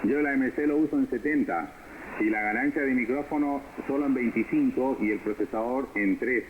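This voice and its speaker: A man's voice: mid-range voice, a little fast. A man talking casually as an amateur operator over a shortwave radio. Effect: shortwave radio transmission. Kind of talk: casual ham radio exchange. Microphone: homemade microphone. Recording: noisy narrow-band shortwave radio reception.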